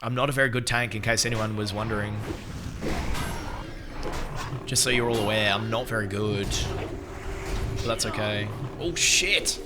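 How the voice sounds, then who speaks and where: A man live-streaming a game and chatting to viewers in an excitable, swearing way, recovering from a lost voice. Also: fairly low pitch, normal speed, long pauses, loud music behind the voice. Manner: excitable, swears, shouts out chat members. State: recovering from losing his voice. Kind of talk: casual gaming stream chat.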